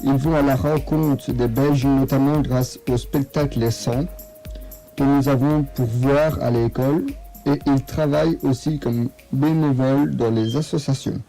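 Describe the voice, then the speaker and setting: Mellow young man reading narration. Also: medium pitch, fairly even intonation, a little slow, creaky, poor audio. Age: young adult. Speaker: student narrator. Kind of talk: scripted narration. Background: faint music.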